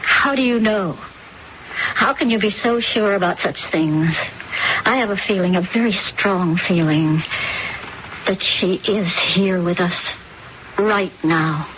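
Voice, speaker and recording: A woman's voice: medium pitch, takes it slowly, pauses often, natural voice. An actress playing an anxious middle-aged stepmother in a radio drama, speaking hesitantly and uneasily. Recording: dull old radio recording with hiss.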